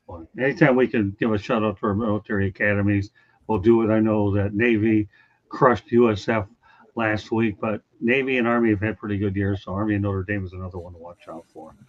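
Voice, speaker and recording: A man hosting a casual sports podcast, his voice going a little raspy as he hands off to co-hosts. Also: fairly low pitch, fairly even intonation, muffled recording. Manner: hands off to co-hosts, brisk wrap-ups. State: voice getting raspy. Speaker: podcast host.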